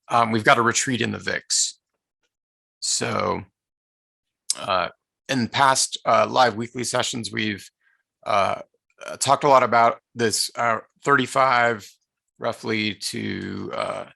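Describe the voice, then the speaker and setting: A man with a hoarse, deeply gravelly voice talks through market charts in a live trading webinar. His tone is bright and forceful, and the sound is close and dry. He speaks in a halting way, full of "uh"s and restarts. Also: no echo. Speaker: trading educator presenting a live market session.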